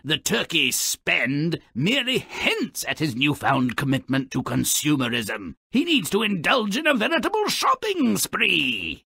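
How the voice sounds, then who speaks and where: Grumpy mischievous creature, video game narrator, gravelly, forceful sharp edge, slow relish, sardonic, theatrical. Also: male voice, a little high, no room sound, studio quality, heavily acted. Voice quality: gravelly, raspy. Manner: mocking, grandiloquent, relishing misfortune.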